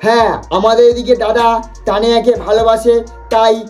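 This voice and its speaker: A person hosting a comic roast in a mocking, exaggerated, excitable way. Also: medium pitch, brisk. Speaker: prank video host and roaster. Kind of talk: teasing comic roast commentary. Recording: music behind the voice. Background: music.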